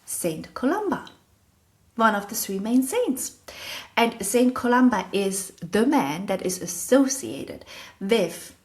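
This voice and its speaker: A woman's voice: on the high side, sing-song, slow pace, a little mellow. A woman with a German accent hosting a casual solo talk, rambling and correcting herself as she goes, often laughing at herself. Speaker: podcast host.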